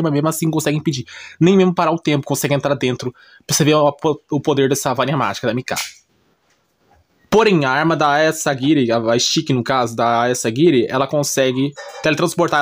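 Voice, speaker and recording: Male, Brazilian accent, slightly high voice. Informal YouTube recap narrator, improvised, repetitive, peppered with short check-in tags. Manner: repetitive, often checks listener understanding with short tag questions. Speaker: YouTuber recapping anime and manga. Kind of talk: casual unscripted plot explanation.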